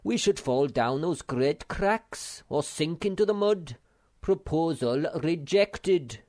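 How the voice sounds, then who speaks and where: A man's voice: fairly high pitch, expressive, slow, pitched above the performer's own voice. A man voicing a bossy, pompous male know-it-all in a storybook reading. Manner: pompous, loud.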